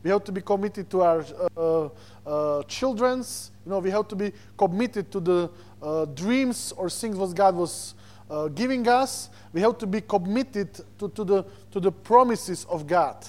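A man's voice: high voice, animated, a little mellow. A man from Slovakia with a Slovak accent preaches informally through personal stories, searching for words in his second language. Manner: halting, searching for words in non-native English. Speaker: visiting preacher.

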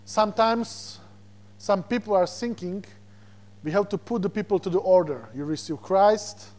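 A man from Slovakia with a Slovak accent, preaching a sermon as a guest preacher, animated and repeating words as he searches for them. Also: sits high, moderate pace. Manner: animated, repeats words when searching, chuckles between points.

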